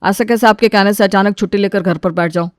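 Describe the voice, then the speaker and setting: A protesting working man , voiced by a woman in a storybook family drama. He sounds exasperated and reluctant, at a medium pitch in a dry, close recording. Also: steady tone, quick pace, no room sound, lightly acted. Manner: complaining, protesting. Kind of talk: acted dialogue in a moral story.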